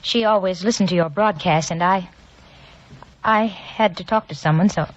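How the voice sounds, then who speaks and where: A woman acts a young nurse who speaks sincerely and a little hesitantly. Her voice sits lower than most women's. The old broadcast sound is dull. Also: moderate pace, close to an everyday voice. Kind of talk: 1940s radio acting. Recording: dull old radio recording.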